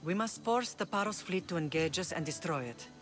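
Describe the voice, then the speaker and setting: An actress playing a middle-aged mother who leads a Greek island, speaking in a measured, earnest, formal way in a dramatic game scene. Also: on the low side, lively intonation, lightly acted. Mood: commanding. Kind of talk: dramatic game cutscene acting. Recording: music behind the voice.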